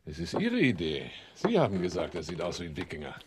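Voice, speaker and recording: Low-voiced, unruffled hardened criminal. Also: male voice, huge pitch swings, a little fast, darkish tone, a little hiss or hum. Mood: calm, terse. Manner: terse, unruffled, dry. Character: cool, hardened male criminal adventurer, matter-of-fact about danger. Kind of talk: German crime radio drama acting.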